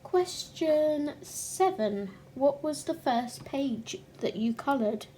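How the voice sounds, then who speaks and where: Croaky young woman chatting slowly to camera, a bit out of breath, faint hiss behind. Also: sits high, lively intonation, dark tone. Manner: reflective, stops to clear her throat. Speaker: colouring channel host. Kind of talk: casual chat to camera.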